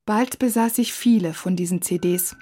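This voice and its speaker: A woman's voice: fairly low pitch, normal speed, mellow. A middle-aged woman telling a first-person story as narrator of a radio feature. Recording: music under the voice. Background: music.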